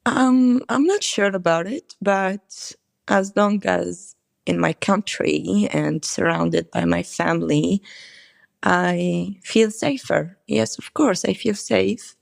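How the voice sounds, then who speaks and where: A woman's voice: mid-range voice, slow, vocal fry, no echo, studio quality. A woman in her twenties from Morocco, speaking English as a second language, answers interview questions hesitantly, often searching for words. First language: not English. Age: 20s. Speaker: interview guest. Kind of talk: conversational interview.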